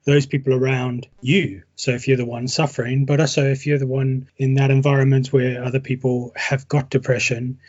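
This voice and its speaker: Husky-voiced man hosting a podcast. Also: medium pitch, level tone, moderate pace, few pauses, a little mellow, lo-fi sound. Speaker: podcast host. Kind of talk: casual interview. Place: England.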